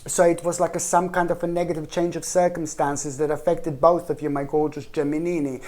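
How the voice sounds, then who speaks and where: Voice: medium pitch, level tone, a little fast, a little mellow. Rambling, chatty tarot reader. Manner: chatty and rambling, often trailing off and restarting; repeatedly addresses viewers with a pet name. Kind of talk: casual monthly tarot reading to camera.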